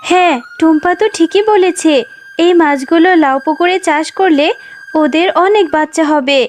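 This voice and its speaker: A practical mother fox from a children's cartoon, played by a woman, speaks in a very high voice. She is homely and expressive, a village wife minding her household. Music plays behind her. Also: moderate pace. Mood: agreeable.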